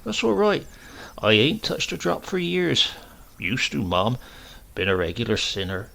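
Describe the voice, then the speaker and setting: Man playing gravelly, roguish old English countryman. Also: medium pitch, very animated, pushed and bright, exaggerated voice. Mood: coaxing, sly. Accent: rural English. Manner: rustic dialect, deferential, repeats himself slowly for effect.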